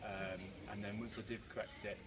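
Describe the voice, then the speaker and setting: A young man with a steady, slightly low voice reviews his own bowling, hesitating with "uh" and "um". He sounds distant on a camera mic. Clear noise from the sports hall runs under him. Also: a little fast. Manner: hesitant, with many "uh" and "um". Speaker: student doing self-assessment. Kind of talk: self-assessment.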